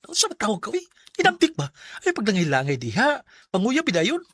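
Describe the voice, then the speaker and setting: An actor playing a brash, teasing male buddy in a radio drama, excitable, with a Cebuano Bisaya accent. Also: high-pitched, brisk, dry recording, full character voice. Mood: teasing, prodding. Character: brash, teasing buddy urging friend to court.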